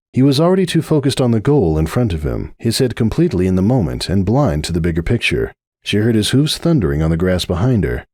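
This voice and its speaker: Low-voiced, expressive male story narrator. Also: dry recording, clean studio sound. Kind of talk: dramatic scripted fan fiction narration.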